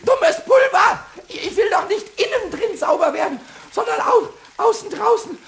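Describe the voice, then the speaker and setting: A man playing a childish, mischievous little kobold in a children's radio play, boastful and whiny, stammering and repeating words excitedly. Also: extremely high pitch, moderate pace, vocal fry, exaggerated voice. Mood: indignant.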